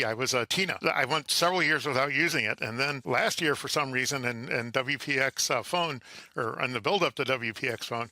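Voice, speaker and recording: Middle-aged male interview guest, very bright, sharp tone, dry close sound, informal and halting delivery. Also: medium pitch, no room sound. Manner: hesitant, halting, thinking aloud. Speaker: interview guest, amateur radio contester.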